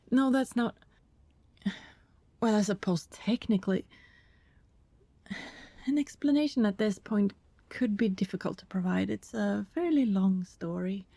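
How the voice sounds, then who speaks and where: A woman playing an anxious, apologetic vampire neighbor in an ASMR roleplay, soft and hesitant, often whispering, with politely formal wording. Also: mid-range voice, creaky, slightly rough, dry recording, full character voice.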